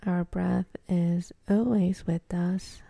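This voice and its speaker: A woman leading a guided meditation in a soft, breathy, half-whispered voice, calm and gentle, with long pauses between phrases. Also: on the low side, no echo.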